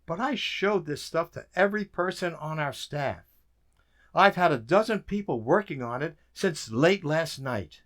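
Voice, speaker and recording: An actor playing a weary, dry-witted government codebreaker in an emphatic radio drama style. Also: male voice, fairly high pitch, animated, measured pace, fairly bright tone. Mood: weary, frustrated.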